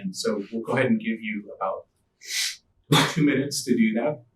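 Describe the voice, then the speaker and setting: A soft-spoken man facilitating a committee meeting, giving measured, gentle instructions to the group. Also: lowish voice. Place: school district meeting room. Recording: echoey room, voice from a distance.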